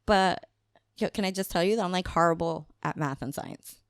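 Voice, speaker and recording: Low, gravelly chatty woman. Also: normal speed, dry recording, clean studio sound. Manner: chatty, laughs often, mixes in Spanish phrases. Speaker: podcast guest. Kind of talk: casual conversation.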